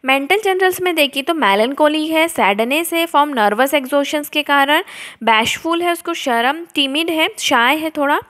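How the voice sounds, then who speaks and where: A woman's voice: sits high, animated, moderate pace, fairly bright tone. A young woman with an Indian accent giving an explanatory lecture, reading notes aloud and then explaining them. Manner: switches between reading out and explaining. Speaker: homeopathy lecturer.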